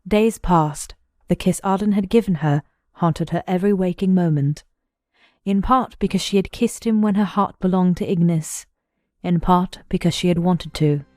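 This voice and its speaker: A woman's voice: lowish voice, moderate pace, a little mellow, no room sound, clean studio sound. A woman narrator reading scripted story narration for an audio drama.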